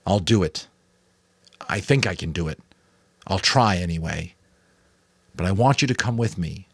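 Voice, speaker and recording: A man's voice: low-pitched, lively intonation, moderate pace, breathy edge, no echo, full character voice. A man voicing an earnest, determined twelve-year-old boy who pleads insistently, in a dramatic audiobook reading.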